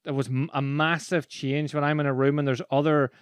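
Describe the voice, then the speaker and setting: A man's voice: on the high side, fairly quick, clean tone, no echo, clean studio sound. An Irish man in casual conversation, telling stories animatedly and laughing as he talks. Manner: animated storyteller, laughs while talking. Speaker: podcast host and speaking coach.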